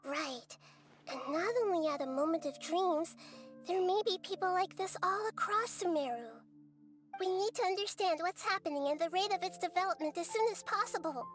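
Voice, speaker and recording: A soft, high child goddess. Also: female voice, moderate pace. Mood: concerned. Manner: calm, soft-spoken, thoughtful. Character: gentle wise young goddess in girl form. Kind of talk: video game voice acting. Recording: game audio with background music.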